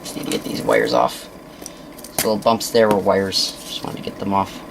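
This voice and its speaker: Gravelly low-voiced man, halting hands-on demo. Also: big pitch swings, noisy background. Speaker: presenter of a hands-on science demonstration. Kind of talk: unscripted hands-on demonstration commentary.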